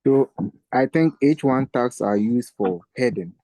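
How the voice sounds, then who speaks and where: A man's voice: medium pitch, animated, measured pace, dark tone. A man with a Ghanaian accent, a student tentatively answering a question in an online class.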